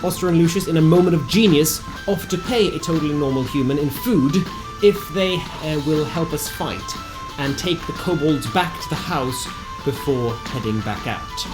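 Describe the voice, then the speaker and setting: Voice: mid-range voice, normal speed, few pauses, rough recording. A narrator reading a scripted recap of a tabletop fantasy game in a theatrical storytelling manner with wry asides. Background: music.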